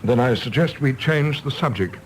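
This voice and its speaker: A man playing an earnest, prickly, idealistic middle-aged British gentleman in a radio drama, speaking formally and eloquently. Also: mid-range voice, normal speed, slight background noise, natural voice, rough recording. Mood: offended.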